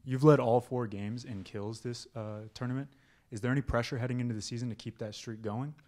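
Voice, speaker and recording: A man's voice: mid-range voice, steady tone, fairly quick, darkish tone. A man, a reporter, asking questions at a press conference, hesitant and stumbling over names.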